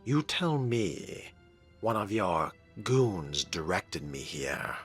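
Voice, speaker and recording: A man's voice: sits low, sing-song, takes it slowly, a bit bright, soft background music. A man narrating a video game in character, with a dry, sardonic and wordy manner. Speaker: gamer role-playing his character.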